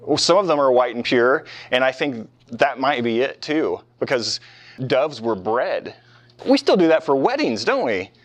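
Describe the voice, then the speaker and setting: A man's voice: mid-range voice, very animated, measured pace. Man giving an informal history lecture. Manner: conversational, rhetorical questions, casual asides. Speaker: professor lecturing. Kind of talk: informal classroom history lecture with questions to the students. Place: live talk in front of a small audience.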